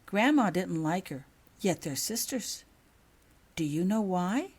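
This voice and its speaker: A woman voicing a timid, curious young schoolgirl with a hesitant, halting manner in a storybook reading. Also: fairly low pitch, expressive, a little mellow, slightly rough, exaggerated voice. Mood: earnest, probing.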